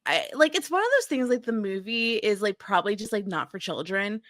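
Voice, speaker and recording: Woman podcast cohost, bright voice, super dramatic n sweary, says like constantly, dry close sound. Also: mid-range voice, expressive, brisk, no room sound. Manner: dramatic, exclamatory, liberally sweary; filler-heavy, heavy use of "like". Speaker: podcast co-host. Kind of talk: casual pop-culture podcast chat.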